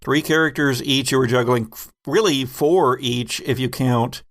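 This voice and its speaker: A man's voice: medium pitch, moderate pace, a bit bright. Male podcast host and game master, mid-range voice, informal roundtable conversation. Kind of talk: casual roundtable chat.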